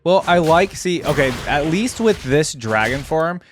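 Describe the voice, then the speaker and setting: A man's voice: on the high side, expressive, a little slow, music in the background. A man giving casual reaction commentary on video game character skins, thinking aloud as he goes. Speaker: reaction channel host.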